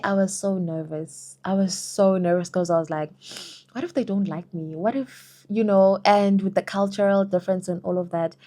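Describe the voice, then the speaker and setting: A woman's voice: medium pitch, a little mellow. A young South African woman answering viewer questions in a casual, chatty vlog, often saying "girl" and "you know". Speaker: vlogger.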